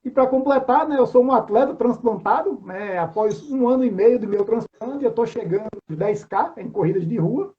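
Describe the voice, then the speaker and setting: A man's voice: very high-pitched, expressive, normal speed, hardly any pauses. A man in his forties with a Northeastern Brazilian accent, chatting informally as an interview guest, often ending phrases with tag questions. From João Pessoa, Paraíba, Brazil. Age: 40s. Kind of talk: casual chat, self-introduction. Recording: dull lo-fi online call sound.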